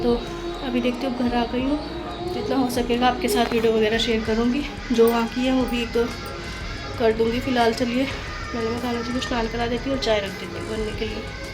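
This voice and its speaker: A young woman vlogging casually, her voice hoarse from a cold and her talk full of fillers. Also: on the high side. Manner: chatty, many fillers like "na" and "matlab". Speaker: family vlogger. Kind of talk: casual vlog narration.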